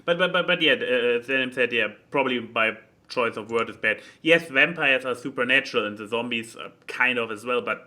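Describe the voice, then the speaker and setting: A man's voice: medium pitch, bright, forceful tone. A man chatting casually about a book, rambling and repeating words as he thinks aloud. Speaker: podcast speaker reviewing a book. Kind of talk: casual opinionated chat.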